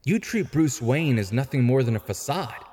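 An actor playing a frank, principled younger vigilante in an audio drama, earnest and articulate. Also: male voice, mid-range voice, expressive, moderate pace, a little mellow, lightly acted. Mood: earnest argument. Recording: long hall reverb.

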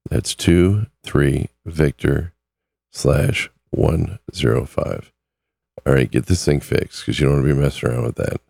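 A man's voice: a bass voice, vocal fry, a little mellow, dry recording, studio quality. A man who is losing his voice, hosting a casual talk podcast in a chatty way. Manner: chatty, repeats numbers slowly. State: losing his voice, sore throat. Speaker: podcast host.

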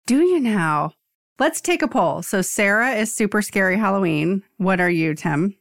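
A woman's voice: mid-range voice, lively intonation, moderate pace, dry recording, clean studio sound. A woman podcast co-host chatting casually in a teasing, playful way. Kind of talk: casual chat.

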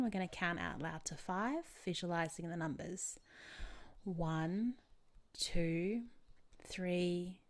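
A woman leading a guided tapping session as a therapist, giving gentle, measured step-by-step instructions with soothing pauses. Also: lowish voice.

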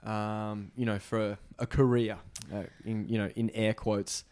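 A man hosting a casual interview, chatty and relaxed with frequent fillers. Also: on the low side, measured pace, smooth, no echo. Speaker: podcast host.

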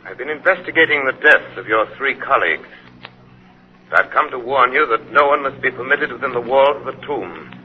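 An actor playing a composed, authoritative crime-fighting sleuth in a 1930s radio drama, speaking in a measured, formal, deliberate way. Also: male voice, mid-range voice, lively intonation, lightly acted. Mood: ominous warning. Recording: muffled, narrow-band 1930s radio recording.

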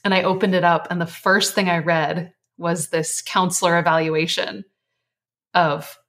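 A woman author and life coach, earnest and reflective as a guest, her voice slightly hoarse. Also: lowish voice, flat delivery, a little slow, a bit bright. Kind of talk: casual interview chat.

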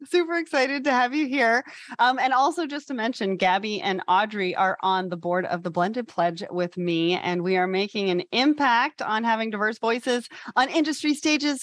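A woman's voice: high-pitched, a bit bright, dry recording, studio quality. A woman hosting a panel discussion over a video call, upbeat and enthusiastic. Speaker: podcast host.

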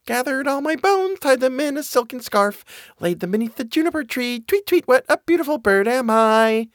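A man singing as a high-voiced magical storybook bird. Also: animated, normal speed, a bit bright, no room sound, studio quality. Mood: plaintive singing. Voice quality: high, singsong. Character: magical singing bird, eerie sweet ghost of a boy. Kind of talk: sung storybook verse.

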